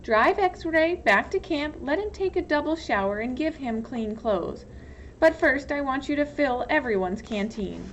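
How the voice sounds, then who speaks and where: A woman reading a storybook voices a tall female camp warden, cold and commanding, with slow, menacingly polite speech. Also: high voice, animated, dull audio, a little hiss or hum, voice pushed up. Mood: curt, commanding.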